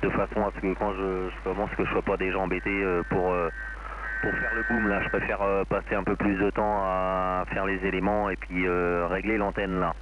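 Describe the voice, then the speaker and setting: A man's voice: sits low, level tone, normal speed. A man from France chatting casually and hesitantly as an amateur radio operator, rambling through his thoughts. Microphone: amateur radio link. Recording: dull, noisy amateur radio transmission.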